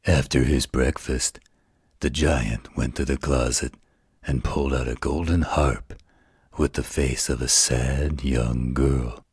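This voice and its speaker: An extremely low-voiced, gentle werewolf, acted by a man, tells a bedtime story at an unhurried pace. The voice is very raspy and soothing, protective and tender, as in an intimate roleplay audio. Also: steady tone, no room sound, studio quality, exaggerated voice. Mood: soothing narrating. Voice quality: gravelly, husky.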